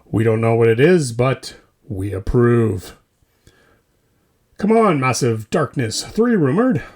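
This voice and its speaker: Animated man reading comedic hobby news. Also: medium pitch, slow pace. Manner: tongue-in-cheek, punchy delivery in short phrases. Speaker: host of a tabletop wargaming hobby news podcast. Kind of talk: scripted comedic news roundup with mock commercials.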